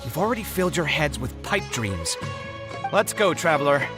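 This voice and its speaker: A man's voice: a little high, very animated, moderate pace, over music. An actor playing an idealistic young male architect in a video game, earnest, exasperated and reproachful. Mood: indignant, exasperated. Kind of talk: dramatic video game voice acting.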